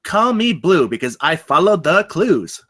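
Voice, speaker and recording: Earnest, nervous rookie guard, read by a man: bright, slightly high, animated table read. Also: unhurried, heavily acted. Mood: earnest, awkward. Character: earnest, anxious young undercover campus security guard. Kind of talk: live screenplay table read.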